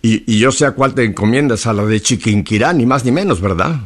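A man's voice: mid-range voice, expressive, a little fast. A man hosting a radio interview, effusive and chatty, full of religious asides. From Mexico. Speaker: radio host.

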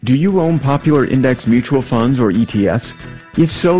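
A man reading a radio commercial in a steady, persuasive, mid-pitched voice. A clear music bed plays under him. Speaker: ad announcer. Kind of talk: scripted radio ad read.

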